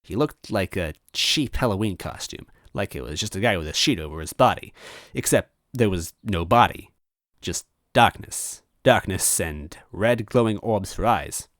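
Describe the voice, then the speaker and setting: Lively low-voiced male story narrator. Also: normal speed, dry recording, clean studio sound. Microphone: close microphone, dry.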